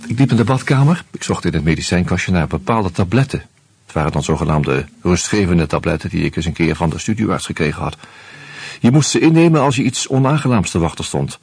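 A man doing a nervous jobless husband narrating his own radio play, low husky voice, swings up and down a lot, dry studio sound. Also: expressive, brisk, darkish tone, no room sound, full character voice. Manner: wry, hesitant with many "eh". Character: anxious out-of-work husband, wry and nervous. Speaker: first-person narrator and lead character.

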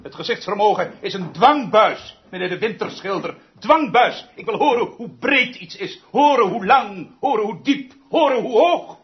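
Dull, lo-fi radio drama sound with music behind. a grandiloquent music director, high-voiced and obsessive, his delivery swinging widely in pitch. He declaims like an orator and hammers key words by repeating them. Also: animated, normal speed, hardly any pauses, husky, exaggerated voice. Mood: pompous, indignant. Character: pompous, obsessive, theatrical male music director, haunted by lost half-sister. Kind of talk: theatrical radio drama acting.

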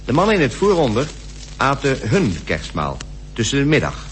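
A man's voice: medium pitch, huge pitch swings, normal speed. An actor playing an expedition member explaining polar customs from his diary, expressively, in a radio drama. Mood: informative. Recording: old radio recording with hum.

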